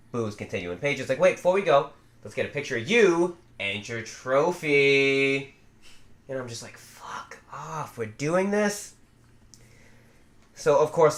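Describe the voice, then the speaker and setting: A man's voice: mid-range voice, fairly bright tone. A young man co-hosts a laid-back wrestling podcast, his voice rising and falling a lot as he talks. He sounds exasperated and keeps pulling the chat back on track. The room around him rings with echo. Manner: exasperated, steers back to the rundown. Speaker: podcast co-host. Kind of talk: casual wrestling review chat. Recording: echoey room.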